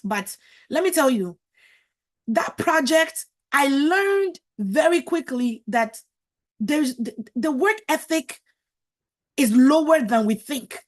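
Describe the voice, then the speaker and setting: A middle-aged woman whose first language is French, giving a motivational talk as a marriage coach, emphatic and preachy, repeating words for stress. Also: on the high side.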